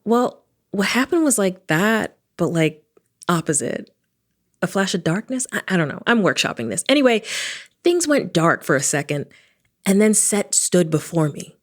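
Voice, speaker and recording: A woman's voice: mid-range voice, big pitch swings, normal speed. A woman playing a sarcastic, reckless adventurer who narrates her own audio drama in a wry, casual, self-deprecating way. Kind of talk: first-person audio drama narration and dialogue.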